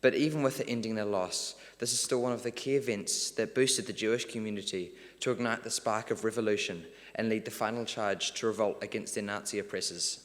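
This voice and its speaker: A man's voice: lowish voice, fairly even intonation, a little fast, hardly any pauses. A teenage boy from New Zealand reading a prepared formal speech as a student prize winner. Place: large parliament hall, speaking to an event. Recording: long hall reverb.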